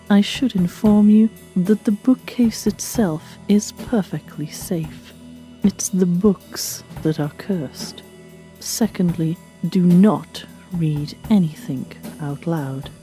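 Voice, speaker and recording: A woman playing a formal, deadpan librarian pony who presents short stories. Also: lowish voice. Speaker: host. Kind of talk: scripted presenting.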